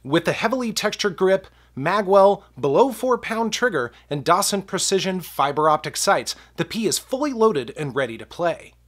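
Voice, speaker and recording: A man's voice: high-pitched, sing-song, moderate pace, few pauses, fairly bright tone. Stuffed-up man, friendly gun reviewer. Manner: friendly, chatty, addresses viewers as guys. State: stuffed up from a cold. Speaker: gun review channel host. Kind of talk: casual product review talk to camera.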